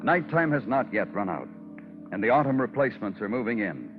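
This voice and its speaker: An actor playing a brooding, poetic police detective, narrating a vintage radio crime drama in a solemn North American voice. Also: male voice, lowish voice, big pitch swings, a little fast. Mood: solemn, ominous. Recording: muffled vintage radio recording, loud music.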